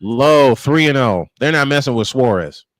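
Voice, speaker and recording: A man working as host and play-by-play commentator, calling baseball and bantering casually between pitches. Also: lively intonation, normal speed.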